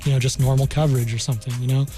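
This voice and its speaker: Fast-talking American man explaining informally. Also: mid-range voice, steady tone, warm tone, faint music behind. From New Mexico, United States. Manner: conversational, explains with hypothetical examples. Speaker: military career counselor, studio guest.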